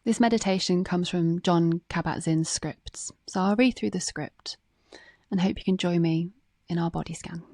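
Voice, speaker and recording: A woman reading a guided meditation script in a calm, gentle, measured way, with phrases that soften at the ends. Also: lowish voice, dry recording, studio quality.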